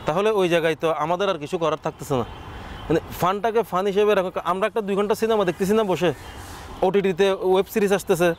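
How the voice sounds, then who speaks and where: High-voiced young chattogram guy chatting in an interview, some noise behind him. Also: fast talker. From Chattogram, Bangladesh. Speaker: comedy content creator, interview guest. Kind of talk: casual interview answers.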